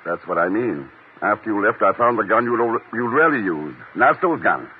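An actor playing a calm, dry secret agent in a 1950s radio drama, clipped and matter-of-fact. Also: male voice, on the low side, fairly quick, close to an everyday voice. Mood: dry, pressing. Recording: noisy, tinny 1950s radio recording.

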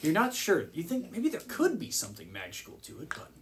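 A man's voice: sits high, wildly swooping pitch, brisk, a crowd in the background. A man acting as game master, narrating a tabletop game with descriptive scene-setting.

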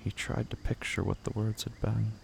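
A man narrating scripted horror fiction in a measured, somber, deliberate manner. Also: fairly low pitch, little pitch movement, creaky, slight background noise.

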